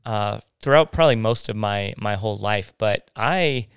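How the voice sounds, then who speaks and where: A man with a fairly low voice and a crisp, very clear sound talks through his life story. He speaks haltingly, with many restarts and fillers, in a relaxed conversational way. The recording is close and dry. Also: no echo. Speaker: guest sharing his faith story. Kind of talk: casual conversational interview.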